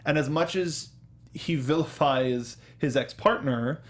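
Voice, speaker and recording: A man's voice: on the high side, takes it slowly. A man hosting a casual film review discussion, often rephrasing himself and joking as he goes. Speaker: talk show host.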